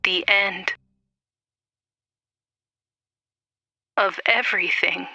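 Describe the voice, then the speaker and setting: A man acts out a frightened survivor of a deep-sea crew in a horror audio drama. He speaks slowly and haltingly, in fragments, haunted and full of dread. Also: steady tone, gravelly, pushed and bright, no room sound, lo-fi sound, exaggerated voice. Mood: terrified.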